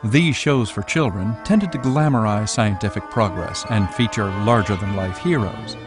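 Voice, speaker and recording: A man's voice: on the low side, normal speed. A man reading scripted documentary narration about the past in the role of presenter. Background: music.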